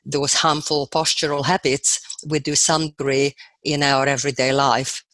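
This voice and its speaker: A middle-aged woman with a Hungarian accent, answering interview questions in an earnest, measured way with hesitant fillers. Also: extremely low pitch, little pitch movement, fairly bright tone, no room sound. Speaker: guest dentist and posture teacher.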